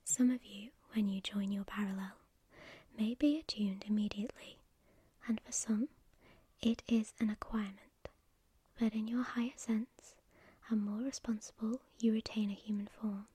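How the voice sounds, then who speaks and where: Woman whispering male angel messenger, solemn, commanding, breathy, measured preaching, dry close sound. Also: medium pitch, fairly even intonation, dark tone, no echo. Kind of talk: whispered storytelling.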